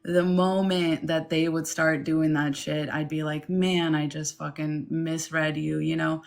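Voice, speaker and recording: Young woman from Ukraine, lowish voice, frank and lively, casual guest energy. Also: a little slow, creaky. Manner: candid and animated, mocks others in quoted asides. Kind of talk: casual conversation.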